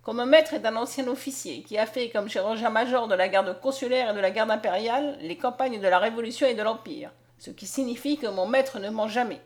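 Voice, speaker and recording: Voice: mid-range voice, fairly quick, hardly any pauses, close to an everyday voice. A woman narrator voicing a blunt, loyal, grumbling old manservant with a folksy manner in a storybook reading. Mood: indignant. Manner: folksy, indignant.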